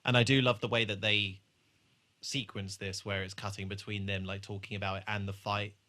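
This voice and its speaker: A man's voice: fairly low pitch, level tone, brisk, pushed and bright. A man hosting a podcast, casually talking through a TV episode in a blunt, sweary way. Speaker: podcast host. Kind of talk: casual chat, TV episode discussion.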